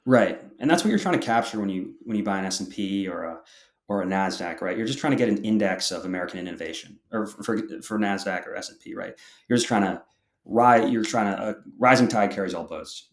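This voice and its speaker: A man's voice: fairly low pitch, quick pace, reverberant. A young man explaining his views as a podcast guest, often restarting his sentences as he works through a point. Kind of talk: interview answers.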